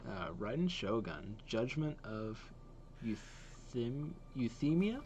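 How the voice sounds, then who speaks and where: A man's voice: mid-range voice, sing-song, slow pace, darkish tone, slight background noise. A man giving casual reaction commentary as a host, thinking aloud as he goes.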